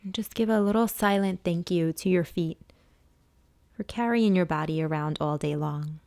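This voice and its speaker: A woman leading a guided body scan meditation as a teacher, speaking in a soothing, hushed way with long pauses. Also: lowish voice, dry recording, clean studio sound.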